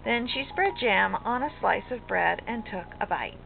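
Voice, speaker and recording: An older woman, a grandmother, reading a storybook aloud to children in an expressive, sing-song manner. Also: mid-range voice, vocal fry. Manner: slow, animated, sing-song. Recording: muffled lo-fi home recording, music behind.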